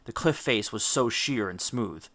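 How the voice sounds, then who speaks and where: Bright, forceful male storybook narrator. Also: fairly low pitch, animated. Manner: theatrical, emphatic, with deliberate dramatic stresses. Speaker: audiobook narrator. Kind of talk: dramatic scripted storybook narration.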